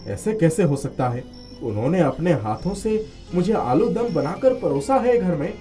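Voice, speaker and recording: Man as courteous village headman, very lively intonation, mellow tone, eager, cartoon storybook. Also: on the high side, normal speed, soft background music, lightly acted. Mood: worried, puzzled. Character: respectful, eager male village headman, family friend. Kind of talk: Hindi animated moral story acting.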